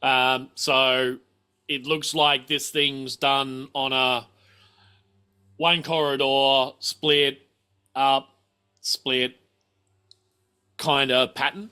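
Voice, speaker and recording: A man's voice: mid-range voice, little pitch movement, very slow, long pauses, pushed and bright, clean tone. A man running a tabletop game as game master, explaining and thinking aloud as he improvises.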